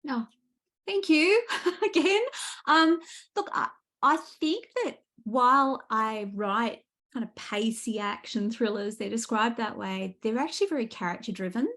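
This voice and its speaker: A woman chatting casually as a guest author, thoughtful and animated in how she explains things. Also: on the high side, measured pace. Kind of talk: casual book interview chat.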